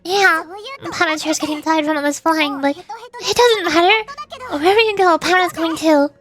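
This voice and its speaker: A woman's voice: very high-pitched, normal speed, a bit bright. A woman voicing a small, loyal, plucky fairy companion from a fantasy game, in a chirpy manner. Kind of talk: reading game dialogue aloud in character. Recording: game music behind the voice.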